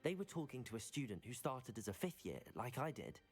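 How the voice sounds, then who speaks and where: A man's voice: medium pitch, very animated, fairly quick, slightly rough. A man playing an earnest, polite teenage wizard student in a fantasy video game, composed in manner. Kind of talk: video game character acting.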